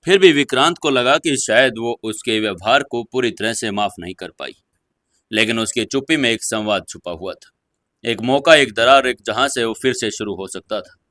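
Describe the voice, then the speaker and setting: A male narrator reads a dramatic audio story in a bright, forceful voice of middling pitch. The sound is close and very dry, with no room around it. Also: moderate pace, dry recording.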